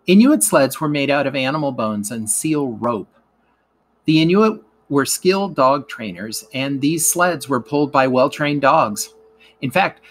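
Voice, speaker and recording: A man's voice: medium pitch, a bit bright. A man narrating a scripted educational lesson as a teacher reading aloud.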